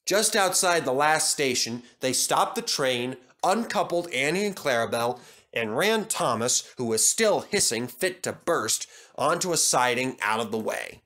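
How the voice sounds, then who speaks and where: A man's voice: medium pitch, animated, a little slow, bright, forceful tone. A man reading scripted narration for a children's story as the storyteller.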